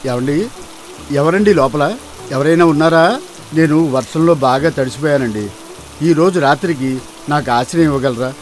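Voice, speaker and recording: A man playing a kindly, anxious village farmer and father in an animated folktale, exclaiming and talking aloud to himself. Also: on the high side, normal speed, pauses often, slight background noise, natural voice. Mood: hopeful, calling out. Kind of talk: dramatised animated folktale dialogue.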